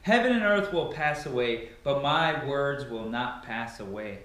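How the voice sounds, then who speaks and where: A man's voice: medium pitch, lively intonation, measured pace, fairly bright tone. A young man giving a dramatic, emphatic reading of scripture, declaiming with stressed phrases. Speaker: Bible reader. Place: bare carpeted living room indoors. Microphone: far camera. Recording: very echoey empty room, distant microphone.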